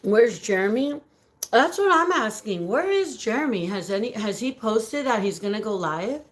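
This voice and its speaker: A woman with a raspy voice chatting casually on a live stream, greeting viewers by name, giggling often and slipping Spanish words into her talk. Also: a little high, lively intonation, moderate pace. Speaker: livestream host reading viewer comments.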